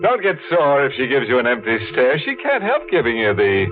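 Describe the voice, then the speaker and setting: An actor playing a sardonic horror anthology host who trades in ghoulish puns, in 1940s radio acting on a tinny old broadcast. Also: male voice, medium pitch, huge pitch swings, soft background music. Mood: mocking. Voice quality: mocking, drawn-out, chuckling.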